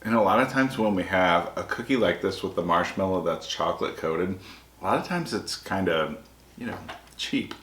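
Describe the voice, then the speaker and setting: Deep-voiced easygoing man, echoey room. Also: expressive, moderate pace, a bit bright. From Detroit area, United States. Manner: easygoing, agreeable, with frequent "you know". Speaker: food review channel co-host. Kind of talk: casual chat while tasting snacks.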